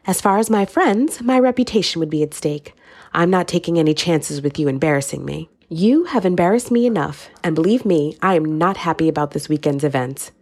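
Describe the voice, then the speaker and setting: A woman's voice: on the low side, expressive, fairly quick, a little mellow, dry recording. A woman reading an audiobook, voicing a wealthy, middle-aged mother who speaks in a cool, steely, dry way. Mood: stern.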